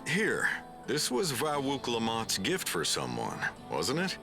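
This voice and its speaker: A man's voice: mid-range voice, wildly swooping pitch, takes it slowly, vocal fry, over music, heavily acted. An actor voicing a blunt, wry male wanderer in a video game. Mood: curious.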